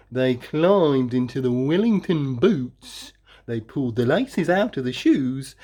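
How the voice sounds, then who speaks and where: Female storybook reader, expressive narration, unhurried pace, animated intonation, dramatic drawn-out emphasis. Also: sits low. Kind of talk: expressive children's read-aloud narration.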